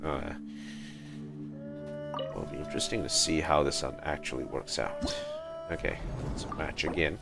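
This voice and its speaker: A man from Malaysia giving low-key, muttered, casual commentary as he hosts a video game livestream. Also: steady tone, measured pace, long pauses, loud music behind the voice. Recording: clearly noisy mic with clicks.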